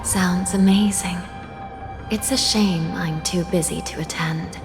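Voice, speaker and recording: Slow, solemn female underworld judge. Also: on the low side, steady tone. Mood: wistful. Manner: polite, measured, formal, ominous. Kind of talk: scripted video game dialogue. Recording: long hall reverb, music behind.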